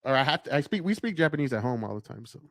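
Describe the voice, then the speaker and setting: A man's voice: mid-range voice, very animated, very fast, a bit bright, dry recording. A man, a podcast guest talking loosely and profanely, full of dude and bro. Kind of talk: casual podcast chat.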